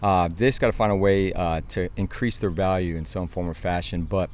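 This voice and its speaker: A man's voice: sits low, fairly even intonation, a little fast, slight background noise. An American man, a football coach, answering interview questions in an earnest, explanatory and informal way.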